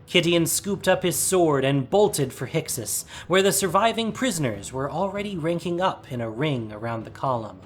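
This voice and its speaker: A man's voice: a little high, lively intonation, normal speed, few pauses. A man reading a scripted, dramatic story as its narrator. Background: music.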